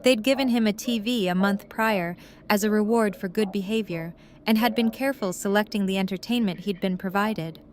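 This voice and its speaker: A woman's voice: medium pitch, brisk, hardly any pauses. A woman reading scripted narration as the narrator of an audio drama.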